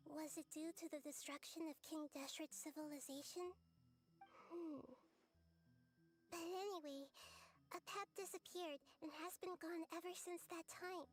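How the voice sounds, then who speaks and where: A gentle, wise girl god. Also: extremely high pitch. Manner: soft, thoughtful and earnest. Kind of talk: video game voice acting.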